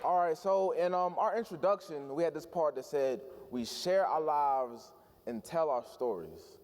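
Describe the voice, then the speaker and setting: A man's voice: a little high, unhurried, slight background noise. A nineteen-year-old man performing spoken word poetry on stage at a conference and hyping up the crowd. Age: young adult. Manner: energetic crowd hype, rhythmic delivery. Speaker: youth spoken word poet. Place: large event hall, live audience. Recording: echoey hall reverb.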